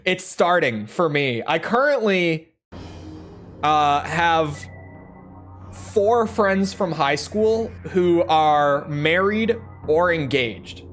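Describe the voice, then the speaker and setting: A man's voice: fairly high pitch, expressive, drawn-out words, a bit bright, soft background music. Rambling young man streaming a game. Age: early 20s. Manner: rambling, joking and self-mocking. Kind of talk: casual chat while gaming.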